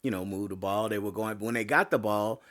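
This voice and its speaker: Hoarse man talking sports on a podcast. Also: lowish voice, brisk, a bit bright. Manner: rambling, many fillers and restarts. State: voice getting hoarse. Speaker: podcast host. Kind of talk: casual sports talk.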